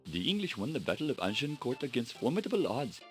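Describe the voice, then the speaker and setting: A man's voice: medium pitch, sing-song, fast talker, soft background music, lightly acted. An actor playing a stern, proud Egyptian male scholar who speaks in formal, solemn and menacing pronouncements, in a 1990s adventure game.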